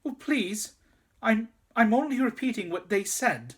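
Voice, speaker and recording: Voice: mid-range voice, lively intonation, measured pace, husky, voice pushed up. A storyteller voicing a frightened young boy who stammers and pleads.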